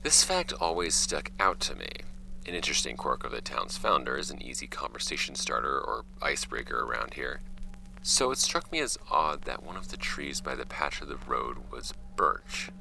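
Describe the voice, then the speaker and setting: An actor playing a wry, offhand young man narrating his homemade podcast about his late uncle's files in an audio drama, with a gravelly voice. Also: big pitch swings, background noise, exaggerated voice. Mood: measured, reflective. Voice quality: very deep, gravelly. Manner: wry, offhand, halting when ad-libbing, measured when reading.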